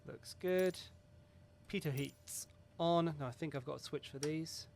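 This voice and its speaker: Chatty gravelly-voiced man talking flight sim. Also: a little high, measured pace, darkish tone, a little hiss or hum. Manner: chatty, swears, rambling, self-mocking. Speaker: flight sim video host. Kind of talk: casual talk over a flight sim checklist.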